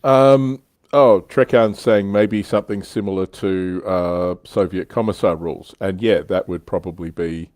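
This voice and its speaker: A man with a deep voice hosts a relaxed hobby panel. He talks conversationally, and the sound is dry with little room in it. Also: measured pace, dry recording. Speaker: livestream host. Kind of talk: casual panel discussion of tabletop wargaming. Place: indoors, online livestream.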